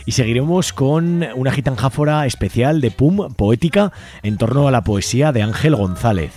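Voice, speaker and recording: A man's voice: medium pitch, animated, normal speed, dark tone, faint music behind. A man hosting a radio show in an informal, chatty, colloquial way. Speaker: radio host. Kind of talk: casual radio presenting. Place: radio studio.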